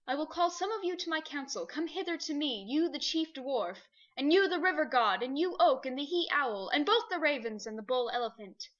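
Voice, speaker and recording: Woman doing a wise solemn male lion, unhurried, muffled lo-fi sound. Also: high-pitched, animated, a bit bright. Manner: slow, solemn, commanding. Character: great wise male lion, grave and kindly. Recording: dull lo-fi recording.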